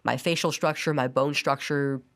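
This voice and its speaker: A woman's voice: very deep voice, steady tone, normal speed. A young adult woman telling her personal story as a podcast guest, earnest and often restarting her sentences. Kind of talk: personal testimony in a podcast interview.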